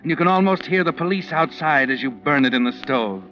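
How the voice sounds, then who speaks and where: A man's voice: fairly high pitch, expressive, fairly quick, natural voice. A man playing an ominous, taunting narrator in a 1940s radio mystery, speaking in a dramatic, insinuating way. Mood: taunting, grim. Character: ominous all-knowing male narrator taunting the accused man. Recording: muffled old 1940s radio recording, music behind.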